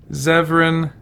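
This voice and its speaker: A man's voice: a little high, creaky, fairly bright tone. A man giving casual let's-play commentary as a game streamer, thinking aloud as he goes. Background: game music.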